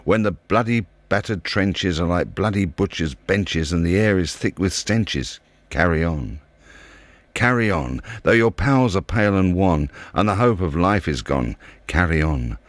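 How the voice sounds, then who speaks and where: Guy with a really low voice reading a poem, punchy rhythm, very dry recording. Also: lively intonation, unhurried, no room sound. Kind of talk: poetry recitation.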